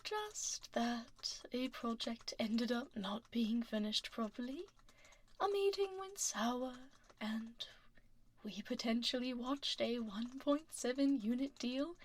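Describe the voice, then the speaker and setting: Woman acting as stern dragon lady CEO, breathy half-whisper, slow and deliberate, coolly demanding, close-miked ASMR roleplay. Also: on the high side. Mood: coolly probing. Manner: deliberate, controlled, politely menacing office speech. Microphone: close binaural microphone.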